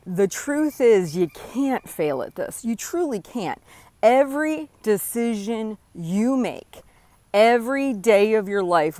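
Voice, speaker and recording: A woman's voice: mid-range voice, darkish tone, no room sound. Earnest woman in her forties, close dry mic. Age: 40s. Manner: earnest, encouraging, direct address with frequent "right?". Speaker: podcast host. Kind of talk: motivational solo talk.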